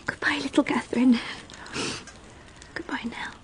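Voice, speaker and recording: Bitter, high-voiced young runaway wife. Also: female voice, steady tone, measured pace, in a whisper. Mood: bitter, grieving. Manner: bitter, intense. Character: anguished, weary, defiant young wife fleeing a violent husband. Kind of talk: radio drama acting.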